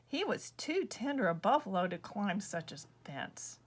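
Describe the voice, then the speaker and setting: A woman reading her own children's book aloud as an expressive storyteller. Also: medium pitch, a little slow, husky.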